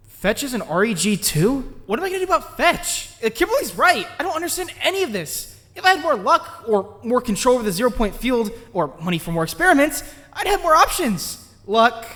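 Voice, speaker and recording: A man's voice: extremely high pitch, fairly bright tone, in a large hall, heavily acted. An actor playing an anxious, brooding, science-obsessed teenage boy in a comic dub, earnest and prone to questioning himself. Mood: anxious, frustrated. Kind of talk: acted comic dub with inner monologue.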